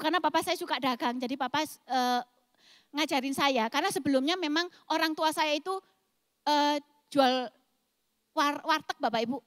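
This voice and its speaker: A woman's voice: sits high, bright, forceful tone, no echo, clean studio sound, long reverb tail. A woman in her forties with a thick East Javanese accent, preaching a sermon as a pastor in an emphatic, explosive way. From East Java, Indonesia. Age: 40s. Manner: emphatic, explosive delivery addressing the congregation.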